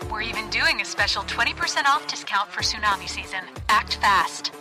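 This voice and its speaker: A woman's voice: medium pitch, animated, brisk, over loud music, natural voice. A woman playing an upbeat, polished advertising announcer in a parody commercial for an audio play. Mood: peppy, selling. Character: upbeat, slick female advertising announcer selling disaster-era products.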